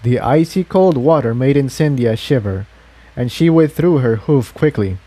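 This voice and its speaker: A male narrator reads an adventure tale aloud from a script. His voice is mellow and soft-edged, at an ordinary male pitch. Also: expressive, moderate pace, dry recording, poor audio. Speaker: narrator reading fan fiction aloud.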